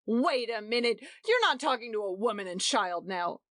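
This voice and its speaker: A woman's voice: fairly high pitch, expressive, normal speed, a bit bright, dry recording, studio quality, pitched above the performer's own voice. A woman voicing a young husband who speaks curtly and with scorn. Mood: scornful. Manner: curt and scornful. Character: contemptuous, protective young husband.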